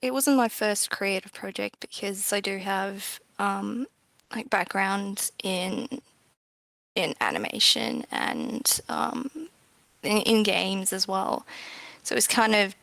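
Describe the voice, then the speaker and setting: A woman talks casually as an interview guest in a mid-range voice with a noticeable creak. She is a bit hesitant and lets phrases trail off. The sound is dry, with little room to it. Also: mid-range voice, takes it slowly, fairly bright tone, dry recording. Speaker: game developer, interview guest.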